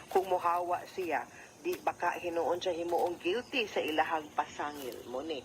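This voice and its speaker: A woman's voice: on the low side, normal speed, a little hiss or hum, poor audio. A woman with a Cebuano Filipino accent gives conversational legal advice as a radio host, explaining step by step and often adding tag questions.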